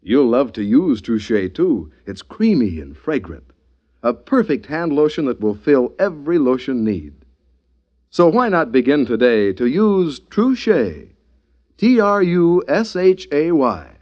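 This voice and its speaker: A dull, lo-fi old radio recording carries a male announcer. He sells in polished 1940s style, with a mid-pitched voice that rises and falls emphatically. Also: very animated, a little slow. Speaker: commercial announcer.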